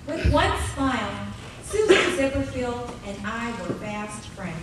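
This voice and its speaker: A woman's voice: a little high, lively intonation, a little slow. An actress playing a wry grown woman who narrates memories of her childhood in a live radio play. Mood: reflective. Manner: wry, dramatic storytelling. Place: live on a theater stage. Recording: distant, very echoey hall with noise.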